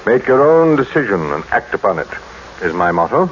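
Low-voiced, imperious businessman. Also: sing-song, fairly quick. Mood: probing, controlled. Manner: brusque, clipped, commanding. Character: cold, imperious, suspicious businessman husband, decisive. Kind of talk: old-time radio drama acting. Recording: muffled, noisy old radio recording.

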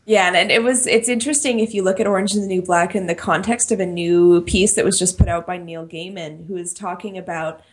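A woman's voice: on the low side, steady tone, fairly quick, few pauses, slightly rough. Chatty young woman co-hosting a podcast. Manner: chatty, laughs often, restarts phrases. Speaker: podcast co-host. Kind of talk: casual podcast chat.

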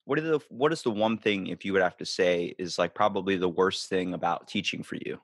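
A man's voice: sits low, a little fast, no echo, clean studio sound. A man hosting a podcast interview, loose, rambling and jokey in casual chat. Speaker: podcast host.

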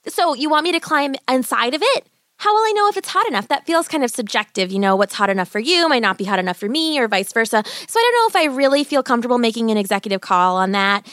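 A woman voicing a plucky, quick-witted young girl in a children's story, earnest and chatty. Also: on the high side, big pitch swings, fairly bright tone, no room sound, studio quality, pitched above the performer's own voice. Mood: innocently stalling. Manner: earnest, chatty, feigning confusion.

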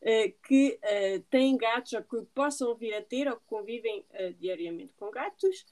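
Mellow-voiced woman podcast host. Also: a little high, expressive, unhurried. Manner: chatty, many fillers. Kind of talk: podcast interview.

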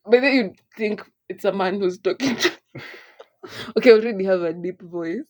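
A Kenyan woman co-hosting a podcast in casual, lively chat, laughing often as she talks. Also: medium pitch, moderate pace. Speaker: podcast co-host. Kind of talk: casual chat, code-switching.

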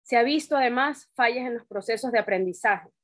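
Higher-voiced woman in dry sound. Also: fairly quick, a bit bright, no room sound. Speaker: co-presenter of a talk. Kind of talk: informal reflective discussion.

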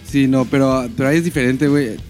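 A man's voice: mid-range voice, fairly even intonation, fast talker, a little mellow, soft background music. A Mexican man co-hosting a comedy podcast in casual, slangy banter, often jumping in. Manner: slangy, interjecting. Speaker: podcast co-host.

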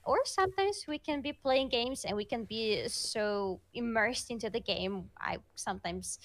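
Higher-voiced woman, Russian native speaker. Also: expressive, measured pace. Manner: chatty, laughs often, hesitant fillers. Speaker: streamer guest. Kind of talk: casual interview chat.

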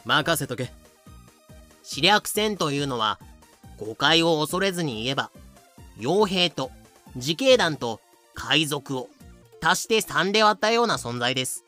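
A male narrator with a very bright, forceful voice, pitched a little high. He delivers scripted comedy narration in an explanatory way, slipping in dry sarcastic remarks. Music plays behind him. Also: big pitch swings, a little slow, pauses often.

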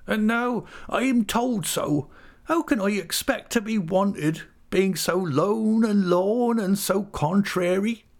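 A man voicing a forlorn, self-pitying old woman in a storybook reading, plaintive and drawn-out, with rural English dialect. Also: sits high, pitched above the performer's own voice. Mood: pitiable whimper.

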